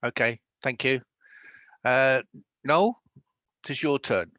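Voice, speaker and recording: A British man chairing a formal committee meeting, speaking in a deliberate way with hesitant phrasing. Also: mid-range voice, bright, forceful tone, no room sound, highs cut off.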